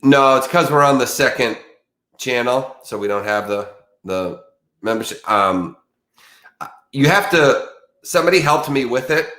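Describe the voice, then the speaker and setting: A man's voice: medium pitch, lively intonation, a little slow, pushed and bright, echoey room. A man hosting a casual true crime livestream, rambling with many fillers and restarts, a little congested from allergies. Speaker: true crime channel host. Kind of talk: casual livestream chat with viewers.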